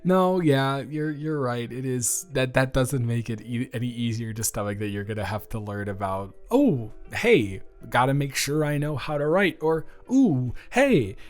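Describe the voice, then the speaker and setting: A man's voice: mid-range voice, unhurried, few pauses, natural voice. Chatty young college guy, acted by a man, music behind. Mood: wry, joking. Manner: rambling, animated, hesitant casual speech. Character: friendly, awkward, chatty young male college student, smitten dormmate. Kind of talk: roleplay audio acting, casual conversational monologue to listener.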